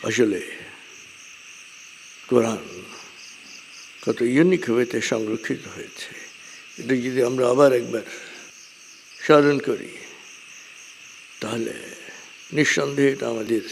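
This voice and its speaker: A man giving a measured religious lecture, speaking deliberately in short phrases with frequent pauses. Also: mid-range voice. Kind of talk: measured religious lecture, partly scripted narration. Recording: long hall reverb, clear background noise.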